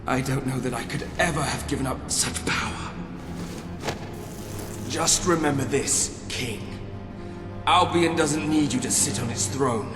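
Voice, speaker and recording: An actor playing a fanatical, scheming military commander in a video game, delivering grandiose, menacing speeches. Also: male voice, medium pitch, unhurried, long pauses, lightly acted. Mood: defeated, bitter. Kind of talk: dramatic video game villain acting. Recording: echoey hall, distant, loud music behind.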